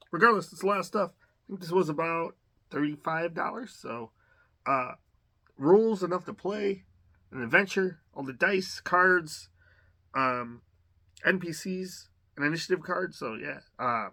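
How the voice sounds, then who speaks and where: Bright-voiced man rambling through an unboxing. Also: on the high side. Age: adult. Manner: relaxed, rambling, many fillers and restarts. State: slight cough. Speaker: unboxing channel host. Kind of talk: casual unboxing commentary.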